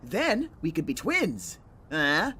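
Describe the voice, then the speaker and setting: Lively teasing young friend woman acting, voice swoops like crazy, zombie audio drama, faint noise. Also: sits low, slow, full character voice.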